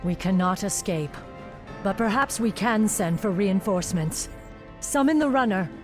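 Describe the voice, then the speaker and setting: A woman's voice: mid-range voice, lively intonation, moderate pace, music in the background, close to an everyday voice. A woman playing a vengeful, commanding elven warden in a solemn, formal video game cutscene style. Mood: urgent, commanding.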